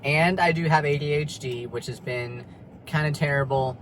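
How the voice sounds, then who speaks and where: A man's voice: on the high side, moderate pace, fairly bright tone, slight background noise, poor audio. A young man talking candidly in a personal vlog from his car in a parking lot, rambling and confessional, with lots of fillers and swearing. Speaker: vlogger.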